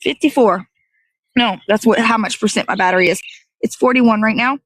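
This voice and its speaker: High-voiced woman chatting casually. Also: brisk, poor audio. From American South. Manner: slangy, playful, easygoing, thinking aloud. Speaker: host of a morning livestream show. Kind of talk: casual rambling livestream chat.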